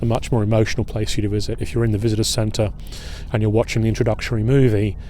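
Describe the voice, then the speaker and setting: Mellow-voiced man guiding a history tour. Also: on the low side, slight background noise. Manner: relaxed, hesitant, with many filler pauses. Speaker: historian and battlefield guide. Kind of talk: outdoor guided history tour talk. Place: outdoors at a waterfront fort.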